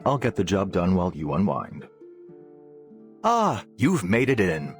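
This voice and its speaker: An artificial scientist for a video game, curious and officious. The voice sits low and swings widely in pitch, with fussy, scholarly precision. Light music runs behind it. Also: male voice, unhurried, exaggerated voice. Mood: musing, mild. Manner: animated, fussily precise, scholarly. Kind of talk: video game character voice lines.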